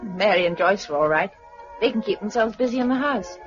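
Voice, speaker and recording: Woman playing expressive British wife, vintage radio. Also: medium pitch, a little fast, close to an everyday voice. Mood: concerned. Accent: British English. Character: loving, brave wife, weary of hard survivor life. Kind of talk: 1950s radio drama acting. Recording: dull vintage radio recording with music.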